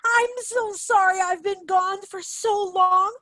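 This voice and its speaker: A theatrical long-lost mother voiced by a woman, extremely high in pitch. She speaks slowly and haltingly, full of stage-play emotion. The sound is dry. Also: steady tone, sharp tone, dry recording, full character voice. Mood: emotional, tender.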